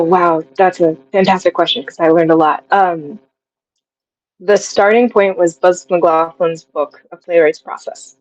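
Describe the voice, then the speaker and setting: A woman's voice: fairly low pitch, no echo, dull audio. A young woman chatting casually as an interview guest, effusive and given to telling things through quoted asides. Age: young adult.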